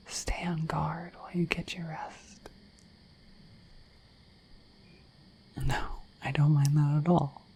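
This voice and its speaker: A woman voicing a shy, affectionate dorky dragon in an ASMR roleplay, speaking in a gentle, hesitant, breathy whisper. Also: low-pitched, poor audio. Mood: tender, excited. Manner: gentle, hesitant, slightly bashful, intimate.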